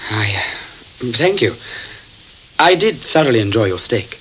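An actor playing a polite, businesslike gentleman traveler in a radio drama, speaking in a formal, measured way. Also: mid-range voice, very animated, poor audio. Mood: courteous. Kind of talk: radio drama acting with first-person narration. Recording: hissy 1979 cassette tape recording.

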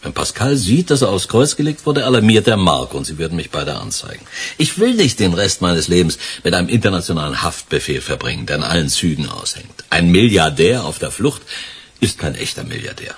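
An actor in a German radio crime drama playing a cynical, distrustful middle-aged criminal mastermind with a biting manner. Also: male voice, deep voice, big pitch swings, brisk, slightly rough, heavily acted. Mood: scheming, firm.

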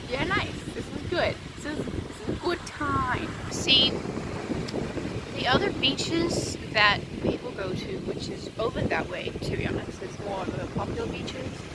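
Australian woman rambling over loud wind. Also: sits high, measured pace. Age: young adult. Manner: chatty, rambling, swears casually. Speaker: vlogger. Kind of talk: casual vlog chat. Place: in a car and outdoors on a beach. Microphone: phone camera at arm's length. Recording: heavy wind noise on the mic.

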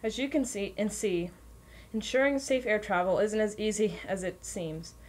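A woman's voice: medium pitch, normal speed, darkish tone. Woman reading a scripted explainer. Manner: plain, informational, occasionally stumbling over words. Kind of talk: scripted narration, read aloud.

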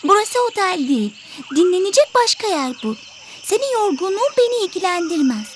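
A woman's voice: very high-pitched, sing-song, brisk, slight background noise. A woman voicing a selfish, grumpy bird in an educational cartoon, speaking in a haughty, brusque, scolding manner. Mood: irritated, dismissive.

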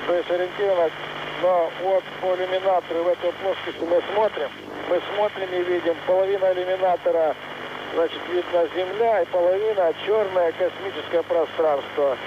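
A man speaks from a space station cabin through a headset, pausing as he searches for his words. His voice sits high and reaches the listener muffled, under a thick hiss of static. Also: fairly even intonation. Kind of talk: live answers from orbit. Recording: muffled noisy space link.

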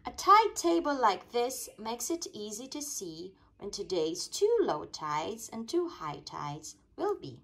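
A woman reading a rhyming children's picture book aloud in an expressive, sing-song storytelling way. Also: on the high side, takes it slowly, hardly any pauses, husky. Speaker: storytime reader.

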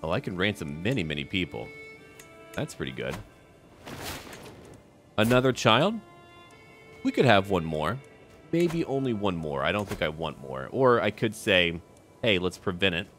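Male gameplay host, low voice that moves around freely, chatting to himself and in-game characters over music. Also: sing-song, normal speed, pauses often, fairly bright tone. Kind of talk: casual playthrough commentary on a strategy game.

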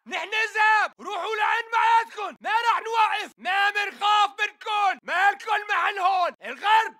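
Shouting, fierce militant fighter, Levantine accent. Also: male voice, very high-pitched, fairly quick. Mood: defiant, menacing. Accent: Levantine Arabic. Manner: urgent, clipped callouts. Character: fierce male militant fighter, aggressive and defiant. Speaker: enemy soldier voice in a shooter game. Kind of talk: combat battle chatter, shouted barks.

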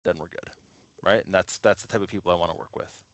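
A man's voice: sits low, steady tone, quick pace, no echo, highs cut off. A man speaking casually as a guest in an interview, talking about running a small record label.

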